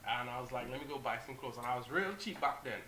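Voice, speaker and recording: A man, a model guest on a talk show, telling animated anecdotes and acting out what people said. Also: medium pitch, fast talker, roomy sound, a loud crowd. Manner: animated anecdotes, acts out quotes, restarts. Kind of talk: casual storytelling.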